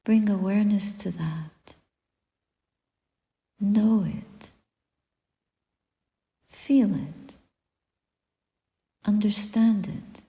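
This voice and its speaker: A woman guiding a meditation as a Buddhist teacher, speaking softly and deliberately in short phrases with long pauses and breathy endings. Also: mid-range voice. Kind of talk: guided meditation, spoken dharma reflection. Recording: echoey room with long reverb.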